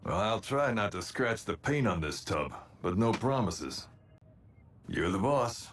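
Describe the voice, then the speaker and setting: A man voicing a brash robot warrior in an animated action series, laconic and wry with tough-guy swagger. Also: on the low side, a little slow, slight background noise. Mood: wry, cocky. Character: brash robot warrior, gruff cocky maverick soldier. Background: loud dramatic music and soundtrack.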